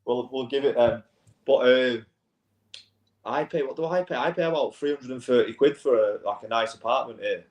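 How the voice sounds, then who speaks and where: A man's voice: medium pitch, moderate pace, a little mellow. A man in his late twenties speaks in a mid-range voice over a remote call that sounds roomy and hollow. He keeps it easy and sweary, saying mate in almost every line. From England. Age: 20s. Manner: laid-back, swearing, says mate a lot. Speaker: guest. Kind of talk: casual chat. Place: an apartment in Bangkok.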